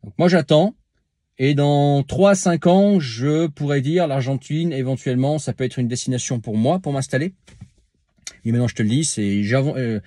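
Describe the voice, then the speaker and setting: A man's voice: mid-range voice, moderate pace, mellow, dry recording, clean studio sound. A man talking casually to camera as a vlogger, answering a viewer's comment with many filler sounds and restarts, speaking to the viewer informally.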